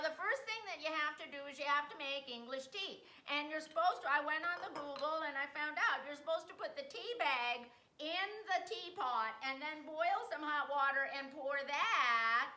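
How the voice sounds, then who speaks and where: A woman's voice: sits high, bright, forceful tone, echoey room. A chatty, folksy woman from Wisconsin, hosting a comedic home cooking demonstration in her kitchen. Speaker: host.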